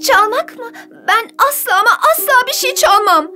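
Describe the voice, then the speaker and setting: A woman playing a sweet, gentle, kindly young heroine in a fairy-tale cartoon. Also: very high voice, lively intonation, moderate pace, over music. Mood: indignant.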